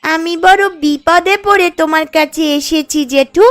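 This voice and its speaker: A woman's voice: very high voice, measured pace, fairly bright tone. A woman voicing a poor, earnest village girl who pleads, in a children's cartoon story. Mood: pleading. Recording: music playing under the voice.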